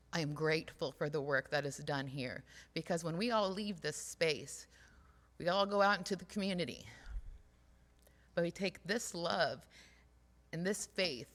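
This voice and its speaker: A woman speaking earnestly and haltingly to a church congregation, in an informal, heartfelt reflection, repeating phrases for emphasis. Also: deep voice. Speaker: speaker at a church service, community outreach worker. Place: large church space during a livestreamed service. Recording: reverberant hall sound.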